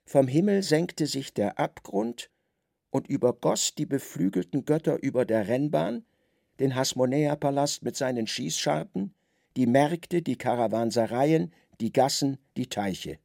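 Solemn male narrator, mid-pitched with heavy creak, dry studio sound. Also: unhurried, dark tone, dry recording.

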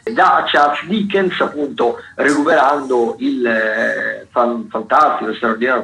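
A man speaks as a radio host in a roomy, echoey space, with a mid-pitched voice. He goes through listings in a semi-improvised way, halting, repeating words and searching for the next phrase. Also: a bit bright.

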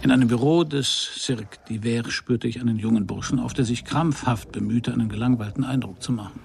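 Actor as a gravelly, wry private eye. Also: male voice, mid-range voice, fairly quick, darkish tone, slight background noise, lightly acted. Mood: dry, wry. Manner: dry, laconic, sardonic. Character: wry, hard-boiled 1950s Paris private detective. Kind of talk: first-person crime radio play narration and dialogue.

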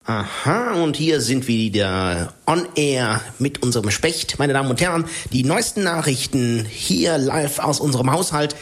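A man's voice: medium pitch, lively intonation, natural voice. An actor playing a bitter, jealous man who mockingly imitates a radio reporter in a drama. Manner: mocking, drawn-out fake broadcast presenting. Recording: echoey room.

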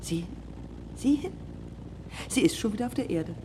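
A woman acting an intense young aviatrix and sculptor in a radio play. Her pitch leaps about as she probes in terse, poetic lines, challenging whoever she faces. There is clear noise behind the voice. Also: expressive, normal speed, lightly acted. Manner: probing, terse questions and poetic phrases. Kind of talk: radio drama dialogue.

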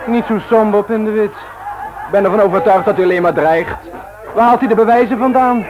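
An actor playing a cheeky, unbothered young lad in a Dutch radio play, brash and bantering. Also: male voice, high-pitched, fairly quick, dull audio, natural voice. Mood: cocky.

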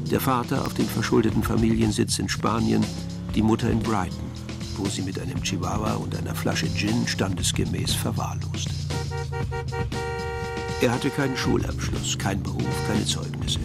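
A man's voice: fairly low pitch, creaky. A man narrating a German crime radio play as a wry, detached storyteller with a dry, sardonic manner. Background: music.

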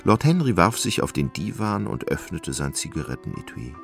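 Extrem tiefer, knarrender Erzähler. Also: male voice, low voice, very animated, normal speed, vocal fry, soft background music. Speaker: narrator. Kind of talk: scripted literary narration for a radio play.

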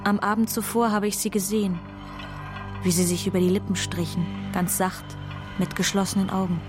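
An actress playing an observant, reflective teenage daughter in a radio drama monologue. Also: female voice, mid-range voice, flat delivery, a little fast, close to an everyday voice. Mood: observant, wistful. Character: observant teenage daughter watching her father fall in love. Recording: music bed behind voice.

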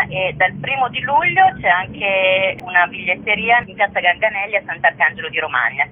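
A woman's voice: fairly low pitch, husky. Woman on a tinny phone line. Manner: hesitant, searching for words. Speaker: theatre festival co-director, interview guest. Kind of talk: phone interview.

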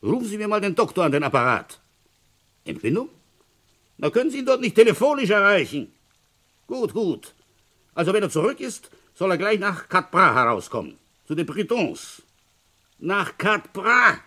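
A world-weary village police commissioner in a radio crime play. His voice is husky and swings widely in pitch as he grumbles along conversationally. He sounds gruff and tired of his sleepy post. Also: big pitch swings, moderate pace, pauses often, pushed and bright, exaggerated voice. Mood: brisk, loud. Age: middle-aged. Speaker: narrator and lead character. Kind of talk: German radio crime play, first-person storytelling.

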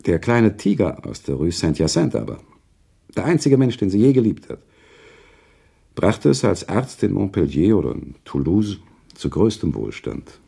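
A deep, gravelly male author in a literary radio play. He is earnest and reproachful, phrasing formally with wide, expressive swings of pitch. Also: heavily acted. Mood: bitter, sombre. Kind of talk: German radio play dialogue, literary storytelling.

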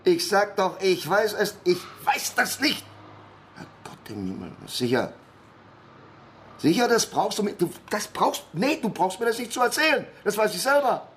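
An actor playing an irritated, harried man on the phone in a radio crime drama, halting, repeating himself and muttering curses. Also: sits high, very animated, fairly bright tone, a little hiss or hum. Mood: exasperated, agitated.

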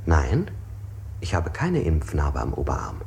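An actor playing an interrogated, compliant captive who answers in short formal statements in a stylised radio play. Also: male voice, very deep voice, huge pitch swings, a little slow, gravelly, a little mellow, a little hiss or hum, exaggerated voice. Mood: compliant, confessing. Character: interrogated male captive, compliant, making odd confessions. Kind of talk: stylised 1960s German radio play acting.

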